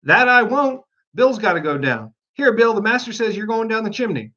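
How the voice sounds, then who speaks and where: A man as high-pitched, squabbling animal workmen. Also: lively intonation, moderate pace, bright, forceful tone, dull audio, heavily acted. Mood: bustling, bickering. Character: squabbling, bustling crew of male animal workers, storybook.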